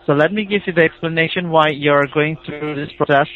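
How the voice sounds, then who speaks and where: A man's voice: on the high side, fairly even intonation, brisk. A man with an Indian accent talking over the phone as a caller, explaining a procedure in an insistent, repetitive, persuasive way. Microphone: telephone call. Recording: tinny, band-limited phone line.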